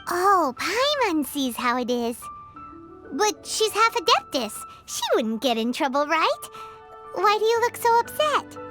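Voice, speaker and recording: Squeaky, bouncy little fairy girl. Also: very animated, unhurried, music in the background, heavily acted. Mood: curious. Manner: childlike, speaks of herself in third person. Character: tiny chirpy floating fairy girl companion, excitable and bossy. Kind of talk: video game voice acting.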